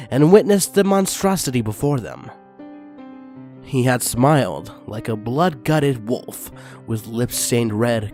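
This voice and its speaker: A man reading a fanfiction story aloud as channel host, excitable and crude in his asides. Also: mid-range voice. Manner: excitable and crude in the intro, then steady reading.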